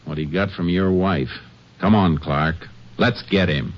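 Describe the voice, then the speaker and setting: A low-pitched, tough lawman in an old-time radio western. He speaks in clipped, commanding lines with a dry edge. The broadcast recording is muffled and aged. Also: a little slow, over loud music. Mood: brisk, pressing. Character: tough, wry Texas Ranger leading a manhunt. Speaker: radio drama actor.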